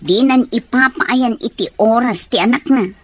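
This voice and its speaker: A woman's voice: fairly high pitch, a little slow. A woman playing a hospitable, concerned aunt in an Ilocano audio drama.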